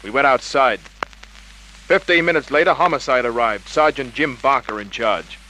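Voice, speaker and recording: A man's voice: mid-range voice, a little slow, bright, forceful tone. A male actor playing a wry private investigator who narrates his case in clipped, matter-of-fact Australian tones, in an old radio detective drama. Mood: terse, grim. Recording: noisy vintage radio drama recording.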